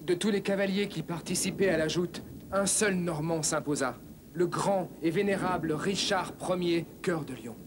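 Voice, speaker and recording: A man's voice: a little high, normal speed, noisy background, close to an everyday voice. A man playing an earnest, honourable young knight in a cartoon, speaking formally. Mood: measured, proud. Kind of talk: dubbed cartoon acting.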